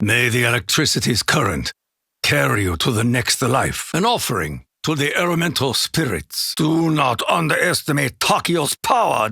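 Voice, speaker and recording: An actor playing a solemn, proud Japanese warrior in a video game, with a gruff voice, a Japanese accent and grave, formal, archaic phrasing. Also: male voice, on the high side, fairly bright tone, no room sound, studio quality, heavily acted. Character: solemn, proud Japanese warrior soldier, honor-bound and menacing. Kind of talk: video game character battle lines.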